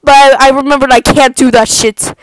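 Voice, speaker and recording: A woman doing an improvised comic cartoon fan dub in a loud, crude, sweary manner. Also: high-pitched, fairly even intonation, fairly quick, no echo. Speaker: amateur fan voice-over artist. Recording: noisy home recording with clicks.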